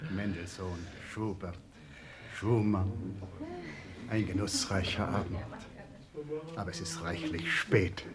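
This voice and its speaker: An actor playing a courteous pastor in a German radio drama, polite and formal. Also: male voice, low voice, steady tone, takes it slowly, long pauses, a crowd in the background. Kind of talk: 1960s German radio play acting.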